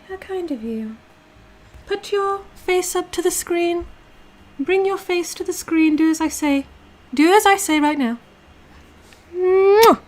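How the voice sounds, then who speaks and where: A woman's voice: very high voice, a little mellow. A British woman playing a bossy, condescending aristocratic girlfriend called a duchess, speaking imperiously to her livestream viewers. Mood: gracious, condescending, then commanding, teasing. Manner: imperious, pompous, condescending, drawn-out emphasis. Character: haughty, bossy aristocratic dominant girlfriend calling herself Duchess. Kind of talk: in-character roleplay livestream, reading and answering chat.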